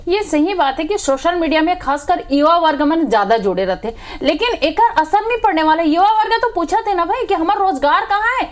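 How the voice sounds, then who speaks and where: A woman's voice: extremely high pitch, animated, brisk, hardly any pauses. A woman party spokesperson with a Chhattisgarhi accent arguing in a political TV debate, combative and emphatic as she makes accusations.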